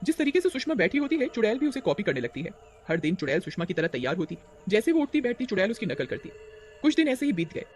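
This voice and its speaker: A man's voice: high voice, sing-song, fast, a little hiss or hum. A man narrating a horror story as a dramatic storyteller.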